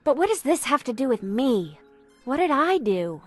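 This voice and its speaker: Higher-pitched, animated, troubled woman. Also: moderate pace, soft background music. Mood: defensive. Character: troubled, spirited adult woman caught up in hauntings. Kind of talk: video game cutscene acting.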